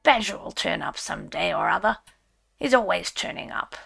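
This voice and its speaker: A woman's voice: lowish voice, big pitch swings, normal speed, vocal fry, a bit bright, husky, soft background music, voice pushed down, heavily acted. A woman voicing a friendly but anxious male water rat in a storybook, emphatic and evasive. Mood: easygoing.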